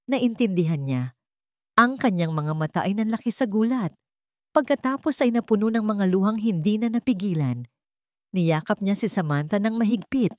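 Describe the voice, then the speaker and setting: A woman narrating a scripted romance drama in a measured, sentimental way. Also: on the low side, darkish tone, dry recording, studio quality. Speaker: story narrator.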